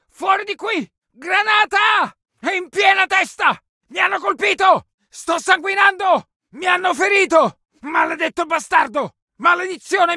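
An actor playing an aggressive infantryman in a war video game, shouting urgent, forceful battle lines in short exclamations. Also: very high-pitched, dry recording, clean studio sound, heavily acted.

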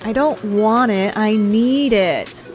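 A woman's voice: mid-range voice, takes it slowly, over music, natural voice. A woman playing an upbeat, wry young woman in an audio drama. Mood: wry, curious.

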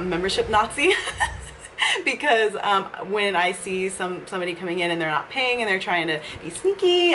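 A woman's voice: fairly low pitch, a bit bright, a little hiss or hum, low-quality recording. A young woman chatting casually in a vlog from a small office, upbeat and often laughing as she talks. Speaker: fitness vlogger and gym owner. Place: small office with leather couch, indoors.

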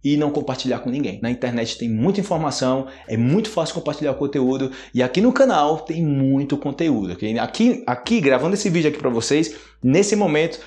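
A man's voice: mid-range voice, brisk, few pauses. A man in his thirties teaching Portuguese to camera in a small room, explaining words casually, checking in often with "né?" and "ok?". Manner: lively, gestures a lot, frequent "né?" and "ok?" check-ins. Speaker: Portuguese teacher, channel host. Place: small indoor room, plain wall. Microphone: close camera framing, upper body.

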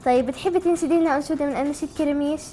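A woman's voice: high-pitched, racing, mellow. A woman hosting a chatty live TV call-in, asking simple, encouraging questions. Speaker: TV show host.

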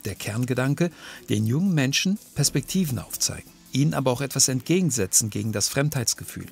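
A man reading a scripted documentary narration in a calm, measured way. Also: mid-range voice, vocal fry, husky. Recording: music bed behind voice-over.

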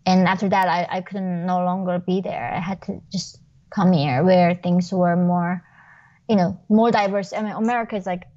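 A woman's voice: lowish voice, steady tone, a little mellow, dull audio. A young woman with a Korean accent, speaking English as a second language, telling her personal story in an interview over a video call from her kitchen. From North Korea. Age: young adult. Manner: fast, emphatic, frequent "like" fillers. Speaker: guest. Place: home kitchen. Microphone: wired earbuds on video call.

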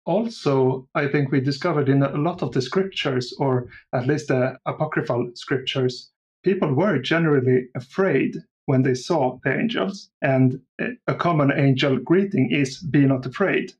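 A man speaking as an interview guest, explaining things in a measured, conversational way. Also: medium pitch, darkish tone, muffled recording. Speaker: audio drama creator, podcast guest. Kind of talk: casual interview chat.

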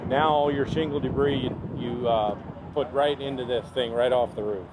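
A man's voice: mid-range voice, steady tone, moderate pace. A man exhibitor casually explaining equipment at an outdoor trade show, with frequent ums and uhs. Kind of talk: product demo explanation. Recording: outdoor crowd noise.

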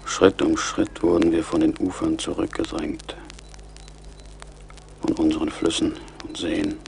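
An actor playing a grave, proud Mohican chief in a radio play, speaking in a solemn, measured, poetic way with a gravelly voice. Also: male voice, a bass voice, darkish tone, a little hiss or hum, heavily acted. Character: grave, proud middle-aged Mohican chief mourning his people. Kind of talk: German radio play acting.

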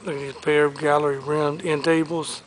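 A man with a slightly high voice walks a big warehouse showroom, rattling off furniture and collectibles in an upbeat salesman's patter. He is recorded on the camera he carries, with a faint hum of the space behind him. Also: flat delivery, rough recording. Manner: listing items briskly, upbeat salesman patter. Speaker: auctioneer. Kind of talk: walkthrough preview of auction items.

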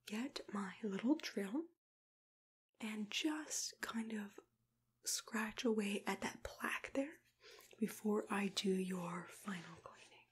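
A young woman whispering gently and soothingly close to the mic, in an ASMR roleplay as a dentist. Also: medium pitch, breathy edge. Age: young adult. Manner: soothing, gentle, hesitant murmured phrasing. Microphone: close to the microphone.

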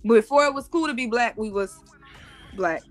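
A woman's voice: on the high side, normal speed, faint music behind. Emphatic woman on a video call. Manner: emphatic, repeats herself, talks in lists. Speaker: guest. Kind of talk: casual explaining on a live stream.

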